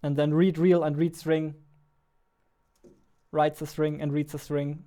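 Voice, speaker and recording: Clear-voiced young man, even pitch. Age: 20s. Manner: mumbles to himself, halting, thinking aloud. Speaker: game developer and streamer. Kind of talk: casual livestream chat while working. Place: indoor room at a computer, keyboard clicks behind.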